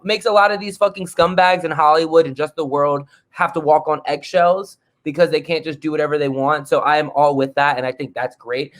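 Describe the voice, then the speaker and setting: Male guest artist, fairly high voice, blunt and profane, animated. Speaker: guest musician. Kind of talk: casual podcast chat.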